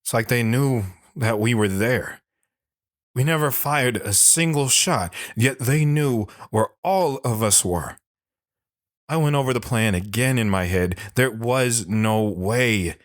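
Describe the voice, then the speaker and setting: An actor playing a bitter, haunted older army sergeant telling his story in a brooding, halting way for an audio drama. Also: mid-range voice, no echo, clean studio sound, close to an everyday voice. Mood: somber reminiscing. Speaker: dramatic monologue narrator.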